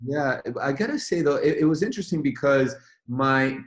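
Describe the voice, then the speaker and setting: A man's voice: mid-range voice, lively intonation, normal speed. A man from Venezuela, a guest in an interview, telling his story in a reflective, rambling way with frequent restarts. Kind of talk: casual interview conversation.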